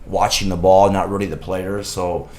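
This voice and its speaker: Relaxed deep-voiced guy, rambling storyteller, laughs easily. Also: normal speed. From California. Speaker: guest, former soccer goalkeeper. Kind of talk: casual chat.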